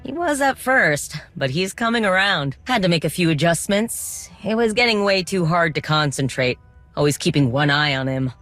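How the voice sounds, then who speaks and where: An actress playing a friendly, determined droid tinkerer in a video game, talking in a casual, reassuring way. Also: female voice, on the low side, expressive, moderate pace, fairly bright tone, faint music behind. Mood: friendly, upbeat.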